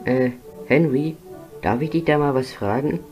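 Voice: medium pitch, normal speed, darkish tone, lightly acted. Someone voicing an eager, naive young cartoon tank engine. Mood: curious, earnest. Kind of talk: animated children's cartoon fan dub. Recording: music under the dialogue.

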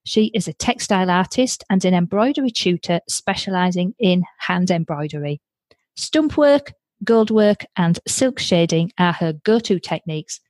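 A woman's voice: on the low side, expressive, normal speed, a little mellow, no echo. Chatty Yorkshire woman, close dry sound. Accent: Yorkshire English. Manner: chatty, exclamatory. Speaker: podcast host. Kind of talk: light-hearted interview chat.

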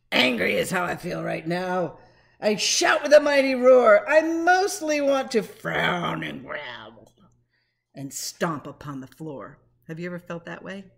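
A middle-aged woman, a teacher, doing an expressive, interactive picture-book read-aloud with chatty asides to the children. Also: lowish voice, a little slow, gravelly, breathy edge. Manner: expressive, dramatic when reading, conversational asides to the children. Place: small living room at home, indoors. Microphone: webcam or laptop at arm's length.

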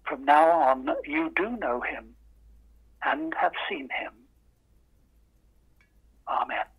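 A man giving a formal, solemn Bible reading in short, measured phrases, on a muffled remote recording. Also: on the high side, slightly rough. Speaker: scripture reader.

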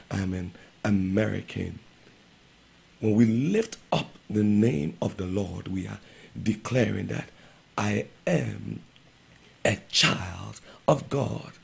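A middle-aged male host from Liberia, preaching to viewers from a small radio studio into a boom mic, building emphasis as he goes. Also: gravelly, a little mellow, breathy edge. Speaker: radio show host, pastor. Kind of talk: exhortation to viewers.

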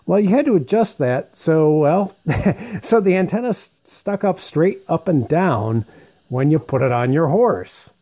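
Guy doing a casual hands-on walkthrough, mellow relaxed voice, kinda rambly, thinking out loud. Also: on the high side, unhurried. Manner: rambling, conversational, thinking aloud. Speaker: presenter showing vintage military radio equipment. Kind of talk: casual unscripted show-and-tell narration.

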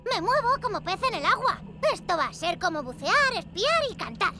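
Pequeño superhéroe entusiasta, agudísimo. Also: female voice, extremely high pitch, very animated, normal speed, heavy background noise. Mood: eager. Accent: Castilian Spanish. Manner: enthusiastic, exclamatory. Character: eager, plucky little boy superhero in gecko costume. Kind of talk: animated cartoon dub. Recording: loud music under the voice.

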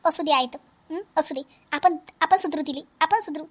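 A woman's voice: high-pitched, moderate pace, lightly acted. A woman voicing an outspoken, protective elder sister-in-law in a family cartoon, emphatic and repetitive, with a Vidarbha Marathi accent. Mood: earnest advice. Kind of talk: animated family comedy acting.

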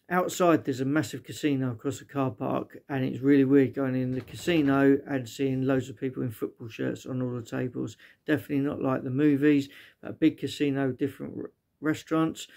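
A man's voice: mid-range voice, a little mellow. A middle-aged British man giving a casual, unscripted vlog review in a chatty, rambling way. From Britain. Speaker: vlogger reviewing stadiums as a fan. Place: small living room indoors.

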